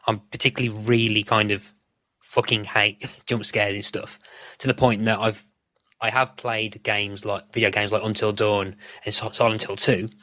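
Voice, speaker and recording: A man with a fairly low, bright and forceful voice talks casually as a podcast guest. He explains things in a loose, rambling way. The sound is very dry, with little room to it. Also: level tone, normal speed, dry recording. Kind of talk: casual chat.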